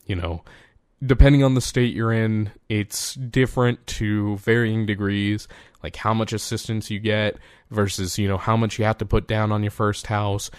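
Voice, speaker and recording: A man's voice: fairly low pitch, steady tone, moderate pace, clean tone, no echo, clean studio sound. A man in his twenties hosting a solo podcast, rambling casually, swearing freely and laughing at himself. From United States. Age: 20s. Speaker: podcast host.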